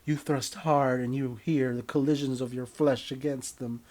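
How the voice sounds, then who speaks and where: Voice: mid-range voice, steady tone, moderate pace, darkish tone. A narrator reading their own comic story in a mock-serious, theatrical, scripted style.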